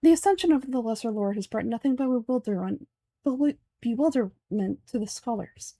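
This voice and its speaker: A woman's voice: a little high, animated, a little fast, mellow, no room sound. Woman as a pompous grand sage villain, dry sound. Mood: disdainful. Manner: pompous, formal, declamatory. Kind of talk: game dialogue dub.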